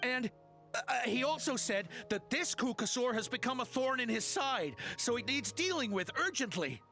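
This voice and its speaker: A man's voice: extremely high pitch, big pitch swings, normal speed, pushed and bright, faint music behind, heavily acted. A man playing a nervous, stammering video game henchman who pleads as he confesses. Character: nervous male henchman confessing under pressure. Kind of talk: video game dialogue acting.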